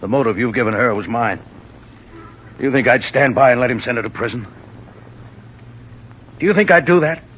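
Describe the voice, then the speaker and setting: A man's voice: medium pitch, brisk, pauses often, fairly bright tone, a little hiss or hum, lightly acted. An actor playing an old man confessing in anguish. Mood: anguished, pleading. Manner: pleading, anguished. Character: older man, desperate killer confessing. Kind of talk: 1950s radio drama acting. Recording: dull old radio transcription.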